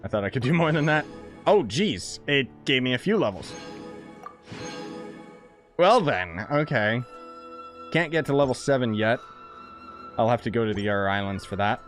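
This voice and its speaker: A man's voice: medium pitch, expressive, normal speed, long pauses. A man giving casual, blunt and slangy live commentary as he streams a video game. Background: game music.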